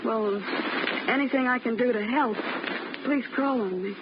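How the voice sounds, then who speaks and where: A woman's voice: fairly high pitch, measured pace, lightly acted. A woman playing a nervous young housemaid in a 1950s radio drama, denying everything in a flustered, insistent way. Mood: protesting. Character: nervous young maid, defensive and protesting innocence. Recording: muffled old radio broadcast with heavy hiss.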